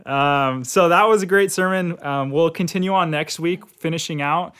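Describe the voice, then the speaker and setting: A man hosts a church podcast in a casual, friendly way. His voice sits a bit high for a man and sounds smooth and clear. He often fills pauses with ums. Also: a bit bright. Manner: friendly and joking, with many ums. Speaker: podcast host.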